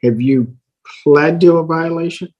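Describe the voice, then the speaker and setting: A man's voice: fairly high pitch, a little slow, darkish tone, dull audio. A man presiding over a court hearing as the judge.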